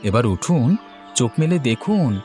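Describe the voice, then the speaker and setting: A man's voice: mid-range voice, sing-song, moderate pace, darkish tone, faint music behind, close to an everyday voice. A man playing a devout, anxious king and father in a fairy-tale cartoon, with a theatrical, deferential and pleading manner. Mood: reverent, eager.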